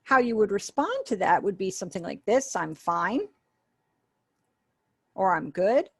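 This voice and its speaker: A woman's voice: medium pitch, very animated, a little slow, vocal fry, slightly rough, dry recording, clean studio sound. A woman giving an online sign language lesson over a webinar call, patiently explaining and acting out example phrases. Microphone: webinar video call.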